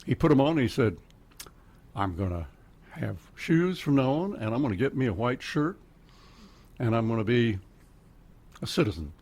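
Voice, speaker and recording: A man's voice: mid-range voice, very animated, normal speed, long pauses, a little mellow. Rambling older Oklahoma man. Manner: rambling storyteller, laughs often, jumps between topics. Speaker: guest. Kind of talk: casual radio chat. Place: radio studio.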